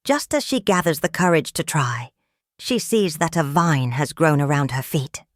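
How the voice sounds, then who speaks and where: A woman narrates a storybook for young children. Her voice sits a little low and she reads expressively. The recording is dry, with very little room sound. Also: expressive, normal speed, no room sound. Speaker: storybook reader. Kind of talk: scripted read-aloud narration of a children's story.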